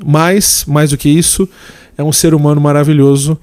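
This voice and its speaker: A man's voice: a little high, fairly even intonation. A man hosting a podcast, introducing his guest in an effusive, chatty way. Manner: warm and effusive, quick asides. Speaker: podcast host. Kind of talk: casual interview chat.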